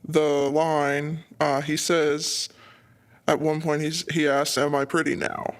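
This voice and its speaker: A man speaks as a podcast guest in a casual, conversational style. His voice sits a little higher than most men's. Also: level tone, takes it slowly, clean tone. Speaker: podcast guest, novice voice actor. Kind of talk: casual chat.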